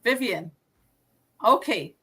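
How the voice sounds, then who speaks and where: A woman hosting an online group call, briskly calling on each guest by name. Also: mid-range voice, expressive, fairly bright tone, slightly rough. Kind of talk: casual video call hosting.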